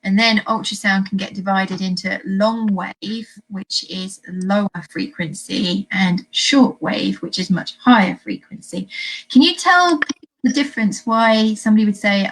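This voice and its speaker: A woman's voice: mid-range voice, normal speed, poor audio. A woman hosting an informal educational interview, chatty, putting things in plain terms and asking questions. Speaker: host.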